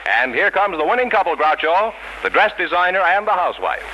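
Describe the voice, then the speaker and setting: A man's voice: high voice, sing-song, brisk, lo-fi sound, background noise. An American man announcing and reading sponsor copy in polished scripted 1940s radio style. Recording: old 1940s radio broadcast recording. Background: music.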